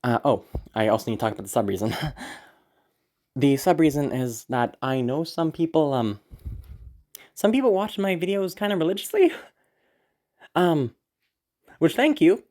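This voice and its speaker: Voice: mid-range voice, lively intonation, moderate pace, pauses often, darkish tone. A person giving a casual, rambling update to listeners in an anxious, apologetic, hesitant way, swearing casually, with a sick, tired throat. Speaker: audio content creator.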